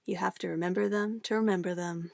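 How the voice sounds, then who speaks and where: Soft, creaky, possessive witch, acted by a woman. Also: lowish voice, steady tone, fast talker, no room sound, clean studio sound. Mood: smug, calmly menacing. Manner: cooing, coaxing, condescending sweet talk. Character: possessive young-sounding witch, sweetly menacing, doting on her familiar. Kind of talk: ASMR roleplay acting.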